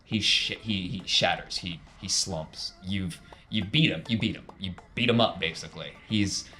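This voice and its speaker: A man's voice: low voice, normal speed, sharp tone, a little hiss or hum. A man working as game master, narrating casually and repeating phrases for emphasis.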